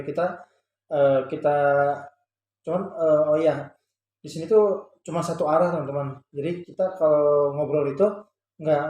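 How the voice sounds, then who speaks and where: A man's voice: on the high side, warm tone. A man chats casually as a vlogger, explaining a product in a hesitant, repetitive way. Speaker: vlogger and parent showing a product. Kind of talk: casual explanatory vlog chat. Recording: echoey room with faint background noise.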